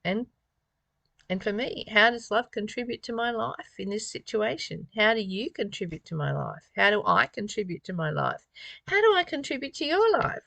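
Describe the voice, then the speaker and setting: A woman's voice: mid-range voice, very animated, normal speed, creaky, a bit bright, dry recording. A woman reading spiritual text aloud and commenting on it informally in a reflective, musing way, often posing rhetorical questions. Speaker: spiritual study reader and commentator.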